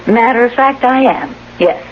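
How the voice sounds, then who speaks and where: Actress doing chatty nurse in old radio drama, polite, coaxing n teasing, bouncy pitch, muffled hissy audio. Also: female voice, lively intonation, moderate pace, lightly acted. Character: brisk, unflappable, cleverly coaxing female nurse.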